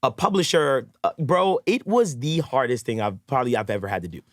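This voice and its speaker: A man's voice: mid-range voice, animated, brisk, no echo, clean studio sound. A man chatting casually as a podcast guest, telling stories with rhetorical questions. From Brooklyn, New York. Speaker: podcast guest, audio engineer and plugin maker. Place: podcast recording studio.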